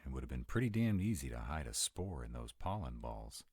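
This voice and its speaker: Vampire for audio drama, male actor: dutiful, protective, very low register, lively inflection, close dry sound. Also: fairly quick, slightly rough, no room sound, full character voice. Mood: uneasy, recounting. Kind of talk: roleplay audio drama acting.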